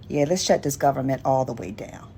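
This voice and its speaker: A woman's voice: a bass voice, fairly quick, vocal fry, mellow. An American woman on a casual political rant, blunt, sardonic and profane, in a noisy clip recording.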